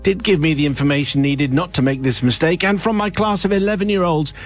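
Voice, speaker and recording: Male radio presenter, fairly high voice, reads letters, laughs through lines, studio music bed under him. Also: fast. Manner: reads aloud, often breaks into laughter. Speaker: radio host. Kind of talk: reading a listener's letter on air. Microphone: studio microphone.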